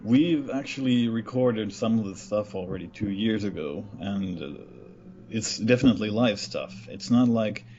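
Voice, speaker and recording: A man from Finland with a Finnish accent, giving a casual interview about his band and often pausing with "uh.". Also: on the low side, highs cut off, slight background noise. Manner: relaxed, halting with many uhs.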